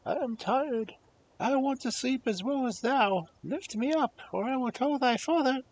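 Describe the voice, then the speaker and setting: A man's voice: extremely high pitch, measured pace, pitched above the performer's own voice, heavily acted, poor audio. A man voicing a small, insistent talking frog from a fairy tale, imperious and old-fashioned in his phrasing. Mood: insistent, threatening. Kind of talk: fairy-tale storybook character voice.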